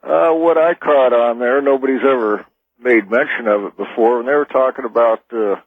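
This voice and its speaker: American dude calling into a radio show, deep husky voice, casual, tinny phone line. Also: male voice, moderate pace, no echo. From California, United States. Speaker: radio call-in caller. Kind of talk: casual chat.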